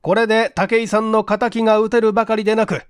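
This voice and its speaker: A man voicing a courteous, earnest police detective chief explaining things in a measured, polite way in an audiobook. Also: sits high, little pitch movement, smooth, dry recording, clean studio sound, lightly acted. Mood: grateful, explanatory. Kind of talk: dramatic audiobook dialogue.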